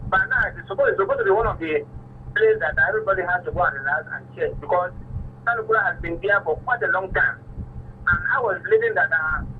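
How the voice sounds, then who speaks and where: A Nigerian man phones in to a radio show to give his view. His voice is high for a man and he speaks haltingly, repeating words. It comes over a thin phone line with faint noise. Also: recorded from a distance. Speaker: phone-in caller. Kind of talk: spontaneous opinion on a radio phone-in.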